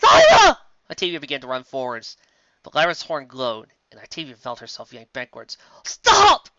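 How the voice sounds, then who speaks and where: A man reading fan fiction aloud in an animated way, giving every character a voice. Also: mid-range voice, fairly quick, no echo. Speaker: audiobook reader. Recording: dull lo-fi audio, dry.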